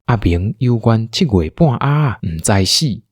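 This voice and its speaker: A husky male storyteller with a Taiwanese accent narrates a radio drama. His delivery is highly expressive and the recording is dry. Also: measured pace, no echo.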